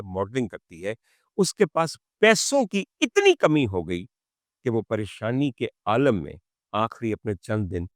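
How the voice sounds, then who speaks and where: A man's voice: lowish voice, no echo, studio quality. A man with a Pakistani accent delivering dramatic crime commentary as a monologue, emphatic, rhetorical and indignant. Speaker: commentator, presenter.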